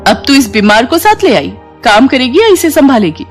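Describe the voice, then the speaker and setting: A woman's voice: high voice, big pitch swings, moderate pace, faint music behind, voice pushed up. A woman playing a haughty, scolding rich housewife. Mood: scolding, impatient. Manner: curt, bossy, impatient.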